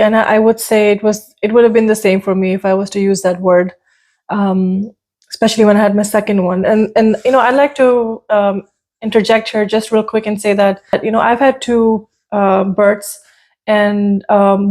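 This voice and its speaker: A woman's voice: medium pitch, steady tone, normal speed. A woman in her thirties hosting a parenting podcast, chatting casually with many fillers and restarts. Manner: warm greeting, conversational with fillers and repeats. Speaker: podcast host.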